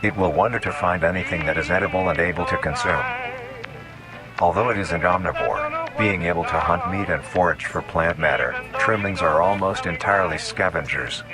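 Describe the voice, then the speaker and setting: Really low male voice, clinical scripted nature doc narration, measured, music underneath. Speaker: documentary narrator. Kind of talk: scripted documentary-style horror narration.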